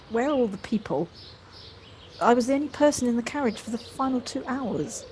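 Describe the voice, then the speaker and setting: A woman's voice: a little high, expressive, a little slow, darkish tone. An actress playing a polite, wistful city woman in an audio play, reflective and a little hesitant. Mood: wistful wonder. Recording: home device recording, light background noise.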